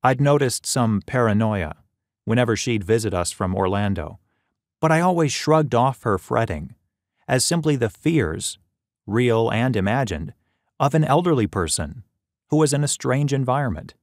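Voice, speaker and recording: A man reading scripted audiobook narration in a measured, expressive storytelling manner, close on the microphone. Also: mid-range voice, no echo. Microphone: close studio microphone.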